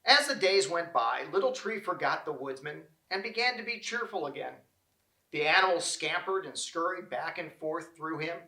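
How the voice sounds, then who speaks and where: A man reading a children's storybook aloud, with expressive and deliberate phrasing. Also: fairly high pitch, echoey room, rough recording. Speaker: storybook reader. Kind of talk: children's read-aloud narration.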